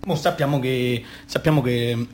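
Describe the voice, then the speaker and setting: A man's voice: medium pitch, takes it slowly, darkish tone, a little hiss or hum, natural voice. A man playing a naive, football-mad little boy in a comic radio play, rambling and stumbling in a colloquial Roman accent. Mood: eager explaining. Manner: colloquial, stumbling, self-correcting. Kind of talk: comic radio theatre monologue.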